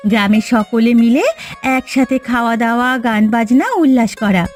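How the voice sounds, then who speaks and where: A woman voicing a contented, good-hearted farmer's wife in a cartoon fairy tale, earnest and gentle. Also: on the high side, fairly even intonation, moderate pace, faint music behind, close to an everyday voice. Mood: contented, hopeful.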